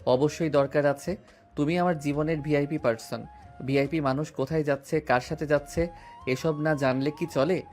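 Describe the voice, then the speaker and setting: A man's voice: a little high, fairly even intonation, moderate pace, a little mellow, close to an everyday voice. An actor playing a playful, persistent young man who courts a woman with teasing affection. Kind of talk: Bengali audio drama acting. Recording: music underneath.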